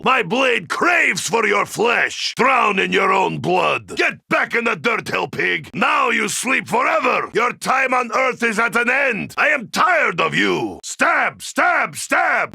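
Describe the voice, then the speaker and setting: A gruff, boastful Russian soldier for a video game, gravelly and piercingly forceful. He declaims bloodthirsty threats in grandiose broken English with a thick Russian accent, swinging widely in pitch and often breaking into shouts. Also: dry recording, clean studio sound, exaggerated voice. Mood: savage, exultant. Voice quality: gravelly, raspy. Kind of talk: video game character combat barks.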